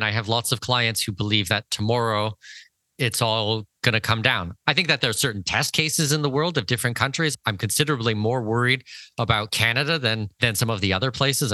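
Male podcast host, crisp bright tone, dry sound, emphatic and opinionated conversational delivery. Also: on the low side, dry recording. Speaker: podcast host and financial consultant.

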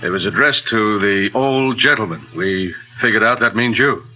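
An actor playing a brusque, businesslike police captain in 1940s radio drama style. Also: male voice, fairly low pitch, moderate pace, lightly acted, rough recording. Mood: brisk.

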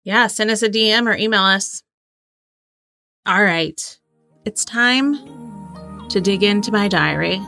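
A woman's voice: medium pitch, unhurried, gravelly, long pauses, sharp tone, no room sound, studio quality, faint music behind. A woman in her thirties co-hosting a podcast in casual, conversational chat. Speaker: podcast co-host and trivia game player.

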